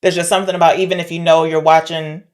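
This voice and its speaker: A woman's voice: on the low side, flat delivery, quick pace, a little mellow. Woman casually chatting about films. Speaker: podcast co-host reviewing films. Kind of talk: casual conversational film chat.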